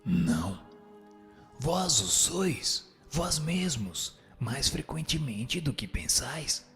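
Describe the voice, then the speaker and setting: A man playing a solemn spirit instructor in a scripted radio drama, answering in a measured, didactic, declamatory way. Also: mid-range voice, very animated, vocal fry, fairly bright tone, exaggerated voice. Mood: solemn, instructive. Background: music.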